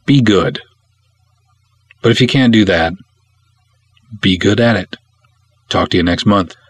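This is an American man with a fairly low voice, captured close and dry. He hosts a podcast in an expressive storyteller's style, with a playful, theatrical touch. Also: unhurried, husky, no room sound. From Minnesota, United States. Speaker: podcast host.

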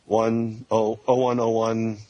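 A man's voice: on the low side, monotone, takes it slowly, dark tone, no room sound, dull audio. A man co-hosting a podcast, chatting in an easygoing way and laughing along. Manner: easygoing, laughs along, reads listener feedback. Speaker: podcast co-host. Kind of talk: casual podcast chat.

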